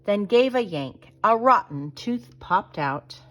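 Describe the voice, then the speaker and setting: Female storyteller, unhurried, dramatic children's read-aloud, mid-range voice. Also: medium pitch, animated, a bit bright. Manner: dramatic, deliberate storytelling delivery. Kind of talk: expressive children's picture book read-aloud.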